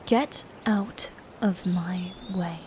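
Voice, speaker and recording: Slow, breathy woman playing a scornful female knight. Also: lowish voice, background noise. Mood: cold, threatening. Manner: formal archaic diction, commanding, contemptuous. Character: proud, scornful female knight, possessive and bitter. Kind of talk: dominant ASMR fantasy roleplay.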